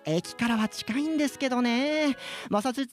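A man playing a polite, cheeky real estate agent who jokes deadpan in a light comic radio drama. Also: very high-pitched, moderate pace, soft background music. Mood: eager, deadpan.

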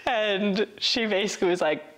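A woman's voice: medium pitch, normal speed, poor audio. A young woman in her twenties telling the camera about her part as foredeck crew in a sailing race, candid and laughing at herself. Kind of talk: interview to camera.

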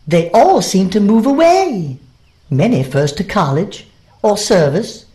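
A woman's voice: medium pitch, big pitch swings, unhurried, mellow. A woman playing a dignified, solemn, commanding schoolteacher in an audio drama, speaking in formal, oratorical phrases. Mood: stately, proud.

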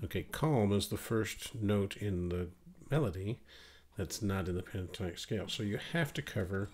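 A middle-aged man with a cold, explaining guitar arranging in a relaxed, thinking-aloud way. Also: lowish voice, moderate pace. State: has a cold. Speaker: guitar teacher. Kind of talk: casual guitar tutorial. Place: small home music room, indoors. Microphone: studio mic on stand, wearing headphones. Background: acoustic guitar playing.

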